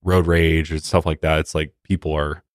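An extremely deep male voice, captured very dry without room echo. He speaks as a podcast co-host, offering casual, conversational commentary. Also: little pitch movement, normal speed.

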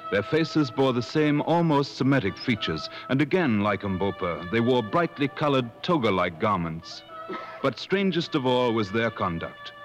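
An actor playing an earnest gentleman adventurer who also narrates, in 1950s radio drama style. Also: medium pitch, big pitch swings, moderate pace, close to an everyday voice. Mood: solemn narrating. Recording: muffled old 1950s radio broadcast, music.

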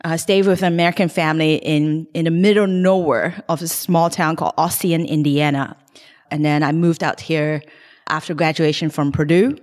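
Lady on a podcast, fairly low voice, brisk career story, echoey long-tail room. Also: steady tone, a little mellow. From Hong Kong. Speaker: guest investor. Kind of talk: casual podcast interview.

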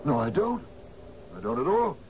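An actor in a radio drama playing an anxious, genial older island captain, halting and stammering as he pleads. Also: fairly high pitch, very animated. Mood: anxious, pleading. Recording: muffled, hissy old radio broadcast.

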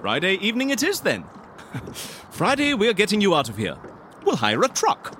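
A man's voice: high voice, huge pitch swings, moderate pace, sharp tone, slight background noise, exaggerated voice. A man playing a polite, eccentric fixer in a comedy audio drama, hesitant and theatrical in his manner. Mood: eager, scheming. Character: eccentric, polite, excitable male fixer interviewing a client. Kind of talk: audio fiction comedy acting.

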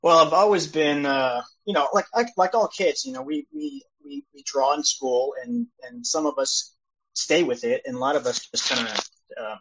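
A man, born in El Salvador and raised in California, answering interview questions in an easygoing, modest way with many pauses. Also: a little high, expressive, a bit bright, breathy edge. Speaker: guest illustrator and publisher. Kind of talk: casual interview chat. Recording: dull lo-fi sound.

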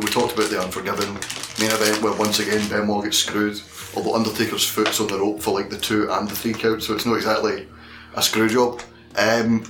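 A man's voice: lowish voice, echoey room, noisy background. A man co-hosting a podcast, chatting casually and laughing often. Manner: laughs often while talking. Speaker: podcast co-host. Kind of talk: casual chat.

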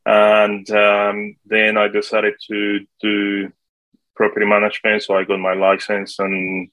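A man in his forties from Colombia, speaking English with a Colombian Spanish accent, answering interview questions in a halting way with many fillers. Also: fairly low pitch, monotone, fairly bright tone, no echo, poor audio. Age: 40s. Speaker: guest, property manager.

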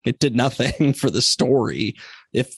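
A man with an average-pitched voice talks as a guest in a casual podcast discussion. He thinks aloud and hedges as he goes. The recording is dry and free of room sound. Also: lively intonation, measured pace, breathy edge, no room sound.

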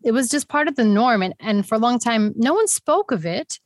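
A woman from New York and Puerto Rico, a podcast guest talking in an animated, casual way and laughing often. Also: on the high side, fairly quick, no room sound, studio quality.

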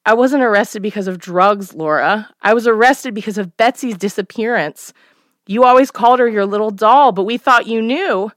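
A woman's voice: mid-range voice, moderate pace, no room sound, clean studio sound, pitched above the performer's own voice, lightly acted. A woman putting on a frantic, remorseful mother. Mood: anguished. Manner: tearful, pleading, rising to anguish. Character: frantic, remorseful middle-aged mother pleading.

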